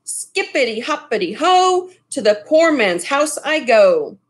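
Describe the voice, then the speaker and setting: A woman's voice: high-pitched, sing-song, a little slow, voice pushed up. A woman voicing a boastful, jaunty magic wok from a storybook, chanting in sing-song rhyme.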